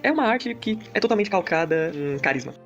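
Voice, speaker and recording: A man's voice: high-pitched, expressive, a little fast, fairly bright tone, muffled recording, soft background music. A Brazilian man reading scripted narration for a video essay.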